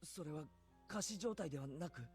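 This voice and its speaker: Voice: medium pitch, expressive, fast, a little mellow, soft background music, lightly acted. An actor playing an anxious, insistent teenage schoolboy in a Japanese visual novel. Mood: tense.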